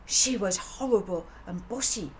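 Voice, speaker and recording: A woman's voice: mid-range voice, moderate pace, natural voice, low-quality recording. A woman voicing an earnest little girl in a storybook, explaining things in childish run-on sentences. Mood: indignant, eager. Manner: childish, breathless run-on telling. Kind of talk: children's audiobook character voice. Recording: audible background noise.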